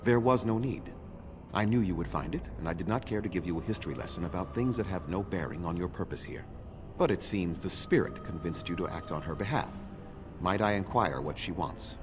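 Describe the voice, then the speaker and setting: A man acting as a proud, bitter, ancient elf clan leader in a fantasy game, speaking in a measured, formal and condescending way. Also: sits low, over music, close to an everyday voice. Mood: dry, guarded.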